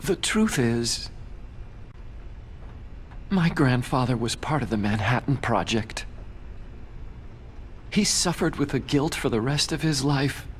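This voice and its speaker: A man's voice: medium pitch, expressive, slow pace, pauses often, slight background noise. An actor voicing an anxious, idealistic young male scientist in a video game, earnest and emotional. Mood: sorrowful. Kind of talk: dramatic video game voice acting. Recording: faint background music.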